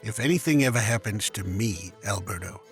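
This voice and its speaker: An actor playing an aging crime boss in an animated comic, a gravelly, menacing and protective father. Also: fairly low pitch, fairly quick, faint music behind. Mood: brooding, fatherly.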